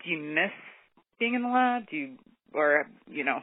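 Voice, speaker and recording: Guy cohost, a bit high voice, asks long wandering questions, phone-line sound. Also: expressive, normal speed, dry recording. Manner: curious, rambling questions. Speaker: podcast co-host. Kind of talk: podcast interview.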